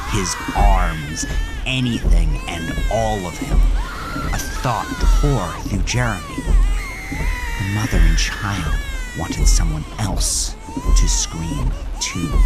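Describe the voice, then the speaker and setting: A man narrates a horror tale with grave, dramatic intensity. His voice is very deep and unhurried. A loud score plays beneath him throughout.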